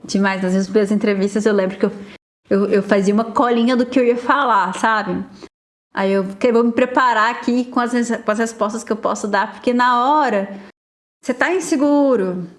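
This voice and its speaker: A woman's voice: on the high side, moderate pace. A Brazilian woman talking casually as a podcast guest, chatty and full of fillers, often acting out what others said. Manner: chatty, many fillers, quotes others in lively asides. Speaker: guest software engineer.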